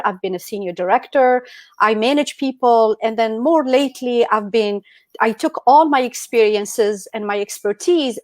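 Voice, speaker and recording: A woman's voice: a little high, no echo. A woman from Algeria giving an earnest talk as a guest speaker over a video call, with frequent fillers like "like" and "um".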